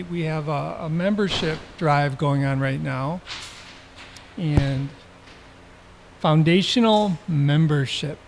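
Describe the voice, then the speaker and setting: Higher-voiced man making a casual live pitch. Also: gravelly. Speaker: arts group member promoting membership drive. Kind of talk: informal live fundraising pitch. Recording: noisy live venue audio.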